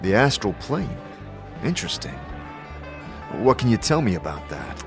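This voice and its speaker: A brooding, troubled immortal wanderer, voiced by a man in a fantasy audio drama. He speaks fairly low, in a pensive and halting way, over soft music. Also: huge pitch swings, gravelly, heavily acted. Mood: curious.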